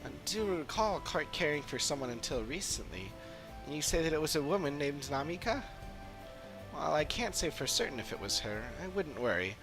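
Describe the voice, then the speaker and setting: A man's voice: a little high, big pitch swings, moderate pace, music in the background, voice pushed up, low-quality recording. A man voicing a polite, untroubled town resident in a video game, mild and matter-of-fact. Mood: mild, unworried. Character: polite, puzzled townsfolk resident, untroubled and helpful. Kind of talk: read-aloud video game dialogue.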